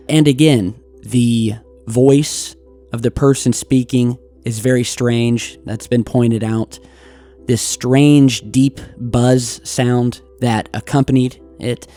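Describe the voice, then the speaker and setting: A man hosting a video, giving earnest, deliberate scripted commentary. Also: mid-range voice, dark tone. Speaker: YouTube host. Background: music.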